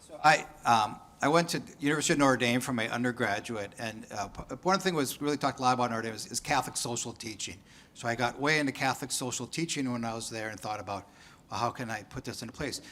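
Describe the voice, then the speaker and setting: A man's voice: mid-range voice, fast, bright, forceful tone, slight background noise. A man, an economist on a research panel, speaking in a self-deprecating way with light laughs. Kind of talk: conference panel talk.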